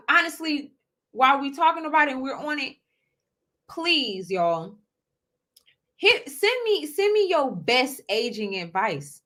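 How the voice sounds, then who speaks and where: A woman's voice: on the high side, pushed and bright. A woman in her twenties hosting her own show, talking casually to viewers, often repeating herself and calling them y'all. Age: 20s. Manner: chatty, repeats words, addresses audience as y'all, asks okay?. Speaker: host. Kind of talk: casual solo talk to viewers.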